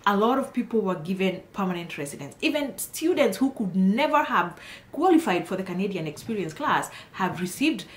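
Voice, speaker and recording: A woman's voice: mid-range voice, sing-song, brisk. A woman speaking informally to viewers as an immigration consultant, emphatic and encouraging, repeating phrases for stress. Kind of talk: informal explainer addressed to viewers. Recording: roomy, echoing voice.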